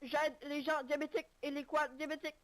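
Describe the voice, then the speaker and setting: Woman playing a high-pitched, earnest, deluded medic. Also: flat delivery, a little fast, a bit bright. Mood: earnest, mock-formal. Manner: mock-formal, declarative. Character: deluded, earnest medic crusading against diabetes in vehicles. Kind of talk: improvised online game roleplay.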